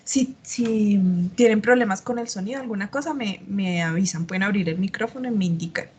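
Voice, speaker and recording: A woman teaches a chemistry lesson over a computer microphone on a video call. Her voice sits at an ordinary middle pitch, a little strained by a sore throat. Her explanations are patient and full of small filler sounds, with a faint hiss behind her. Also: a little fast, darkish tone. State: slight cough, sore throat. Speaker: chemistry tutor. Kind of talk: online tutoring lecture. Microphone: computer mic on a video call.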